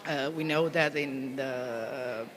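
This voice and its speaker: Italian policewoman, halting, echoey hall. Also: a bass voice. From Italy. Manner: halting, searching for words, many restarts. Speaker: police officer. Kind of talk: interview answers, conference delegate.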